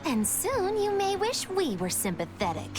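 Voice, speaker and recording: A woman's voice: very high-pitched, huge pitch swings, moderate pace, over music, heavily acted. An actress playing a mischievous, mocking young woman in a video game, a theatrical trickster with a teasing manner. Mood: mocking, sly.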